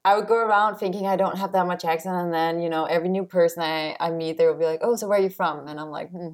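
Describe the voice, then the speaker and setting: A young woman from Denmark with a light Danish accent, a helicopter pilot chatting as a podcast guest, a little tired and quick to laugh. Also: fairly low pitch. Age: young adult. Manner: relaxed, laughs easily, trails off with "I don't know". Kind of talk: casual podcast chat.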